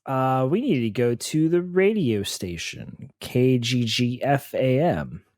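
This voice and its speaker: A man's voice: mid-range voice, animated, slow, a little mellow, no echo, clean studio sound. A man voicing a courteous, folksy middle-aged radio DJ character, speaking hesitantly. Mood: polite, hesitant.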